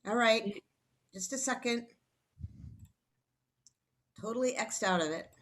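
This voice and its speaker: A woman's voice: fairly high pitch, extremely slow, fairly bright tone. A woman hosting a training session on a video call, upbeat and rambling, a little flustered. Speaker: training host. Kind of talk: casual presentation on a video call.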